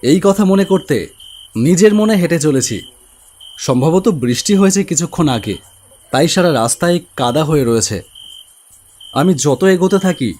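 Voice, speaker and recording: Man, fairly high voice, dramatic first-person storytelling, cartoon horror narration, faint crickets and rain. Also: a little mellow, slight background noise.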